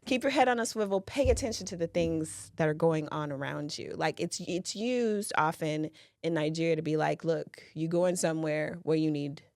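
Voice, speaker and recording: Brief: woman podcast co-host, low voice, chatty and laughing, dry close sound. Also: normal speed, hardly any pauses, a little mellow, no room sound. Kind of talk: casual podcast chat.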